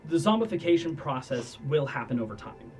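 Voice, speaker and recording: A man's voice: a little high, sing-song, a little fast, music in the background. A man narrating as dungeon master of a tabletop role-playing game, describing scenes and answering players.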